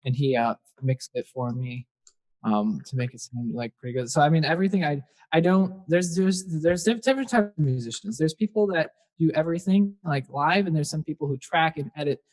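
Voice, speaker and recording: A man's voice: on the high side, a little fast, a little mellow. A young man, a singer-songwriter guest, chatting casually in a podcast interview and rambling with fillers. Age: young adult.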